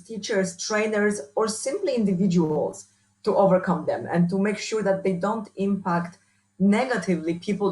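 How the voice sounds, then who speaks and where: A woman with a strong Polish accent answering interview questions as a researcher and trainer, often restarting and filling pauses. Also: mid-range voice, a little mellow, poor audio. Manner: hesitant, many restarts and fillers. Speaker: workshop speaker, researcher.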